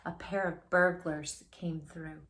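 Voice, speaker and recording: A woman reading a picture book aloud for children in an animated, playful way, talking directly to young listeners. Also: lowish voice, slow pace, roomy sound. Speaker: children's storytime host.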